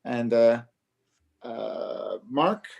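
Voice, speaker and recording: A man's voice: mid-range voice, lively intonation, extremely slow, gravelly, a little mellow, muffled recording. A man who helps lead the event, introducing himself enthusiastically with some restarts. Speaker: event organizer.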